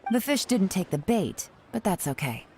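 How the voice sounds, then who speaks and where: An actress playing a composed female spymaster in a game, calm and crisp as she gives orders. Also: mid-range voice, moderate pace, a little hiss or hum. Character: cool, commanding female spymaster. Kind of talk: game dialogue.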